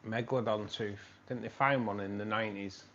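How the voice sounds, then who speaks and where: Rambling live stream host, man with a lowish voice, muffled lo-fi audio, faint hiss behind. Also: steady tone, fairly quick, fairly bright tone. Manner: chatty, rambling, keeps repeating himself. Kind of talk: casual live stream chat. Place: home room with fish tanks.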